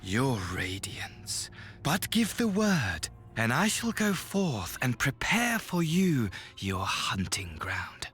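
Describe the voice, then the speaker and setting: A man's voice: medium pitch, big pitch swings, slow, fairly bright tone. A man voicing a flamboyant, mocking male villain servant in a game cutscene, grandiose and sing-song with manic laughter. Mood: hushed devotion. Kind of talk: theatrical game cutscene acting.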